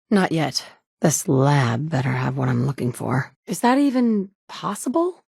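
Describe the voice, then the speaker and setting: A blunt, determined wasteland survivor. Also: female voice, low voice, a little mellow, no echo, studio quality, heavily acted. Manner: firm, direct, occasionally sarcastic. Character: resolute adult woman survivor, blunt and capable. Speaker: player character. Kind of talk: game voice acting, dialogue lines.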